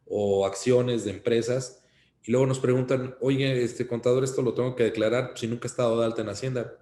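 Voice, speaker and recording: A man with a Mexican accent giving an informal instructional talk as an accountant, explaining things in a cautionary, halting way. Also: on the low side, darkish tone. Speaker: accountant giving tax advice. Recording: roomy, echoing sound.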